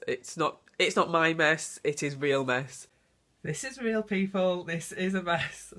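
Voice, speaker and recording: A young woman vlogging at home, chatty and rambling, sounding congested from a cold. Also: on the low side. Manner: chatty, rambling, self-deprecating. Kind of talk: casual home vlog. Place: at home, indoors. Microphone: phone or camera mic.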